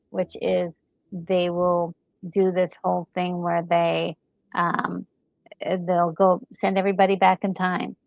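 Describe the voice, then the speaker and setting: A woman's voice: lowish voice, creaky, darkish tone, dry recording, poor audio. A woman in casual, reflective chat as a gaming co-host, hesitating and repeating words as she gathers her thoughts. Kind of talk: casual chat reviewing a game story.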